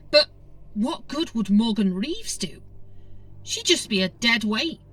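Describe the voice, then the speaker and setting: Woman reading an audiobook, bright crisp tone, really expressive, bit of music behind her. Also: on the high side, recorded from a distance, rough recording. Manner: expressive, dramatic storytelling. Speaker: audiobook narrator. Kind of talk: scripted story narration. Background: background music.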